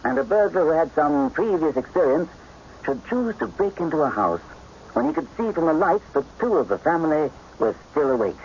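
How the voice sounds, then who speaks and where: A man playing a polite, methodical detective who asks measured, probing questions in a radio drama. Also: on the high side, very animated, gravelly. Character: shrewd English gentleman detective, polite, coolly methodical. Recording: muffled radio drama recording, faint noise.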